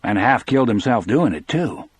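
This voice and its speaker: A man's voice: mid-range voice, sing-song, moderate pace, breathy edge. A man voicing a blunt, probing male prison guard in an audiobook. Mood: puzzled, probing. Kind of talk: audiobook character dialogue.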